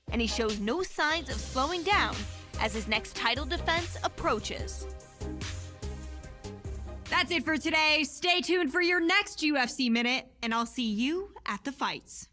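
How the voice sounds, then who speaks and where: A woman's voice: sits high, expressive, unhurried. A woman reading a scripted, upbeat sports news update as its presenter. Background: music.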